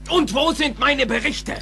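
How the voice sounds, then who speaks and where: A man's voice: extremely high pitch, pushed and bright, full character voice. An actor playing a domineering, mocking bully of a supervisor in an audio drama, taunting and drawing out his words. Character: loud sneering bully supervisor, adult man, domineering and mocking. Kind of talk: German audio drama film dub acting.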